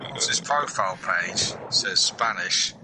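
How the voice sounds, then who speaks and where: A man's voice: low-pitched, lively intonation, unhurried, noisy background. A man in his forties telling stories in a casual chat while gaming, swearing freely and muttering asides to himself. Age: 40s.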